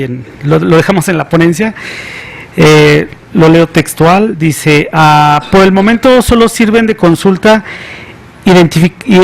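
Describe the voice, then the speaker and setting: A man speaking as a panelist at an academic symposium, soft-spoken, who reads a passage aloud. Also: on the high side. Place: conference hall.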